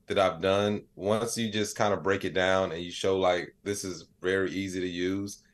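A man's voice: low voice, fairly even intonation, moderate pace. A man in his late thirties speaking casually and colloquially as an interview guest, explaining things in plain, conversational terms. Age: late 30s. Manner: colloquial, plain-spoken, acts out little imagined dialogues. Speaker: interview guest, AI educator and comedian.

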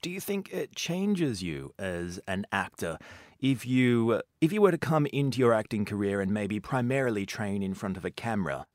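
Lively presenter with a low voice. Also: male voice, moderate pace, dry recording, clean studio sound. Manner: polished presenter delivery. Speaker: interviewer, presenter. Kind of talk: scripted narration and interview questions.

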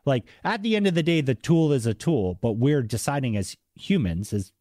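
An enthusiastic man with a mild Boston accent hosts a conversational podcast. His voice sits at a middle pitch and is recorded dry, with hardly any room around it. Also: expressive, moderate pace, mellow, no room sound. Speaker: podcast host. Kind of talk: casual interview chat.

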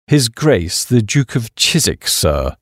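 A man voicing an unflappable, deferential English valet in a comic audiobook, speaking formally and with measured understatement in a British accent. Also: medium pitch, big pitch swings, dry recording, clean studio sound. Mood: deferential, composed.

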